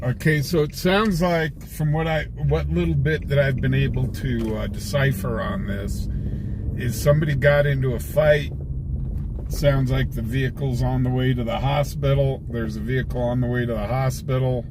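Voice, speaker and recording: A man's voice: medium pitch, fairly even intonation, moderate pace. A man giving casual live commentary as a scanner-chasing streamer, with a folksy, informal way of thinking aloud. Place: in a car out on the street. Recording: loud vehicle and street noise. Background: car and outdoor traffic noise.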